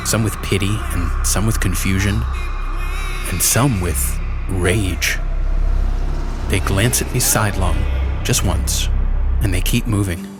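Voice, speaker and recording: Low-voiced man narrating horror, intense. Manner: intense, deliberate, halting short phrases. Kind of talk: dramatic first-person horror narration. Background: music.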